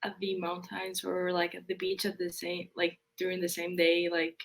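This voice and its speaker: A woman's voice: on the low side, completely flat delivery, moderate pace. A young woman from Spain, a college student, answering interview questions casually and hesitantly, with many fillers. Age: young adult.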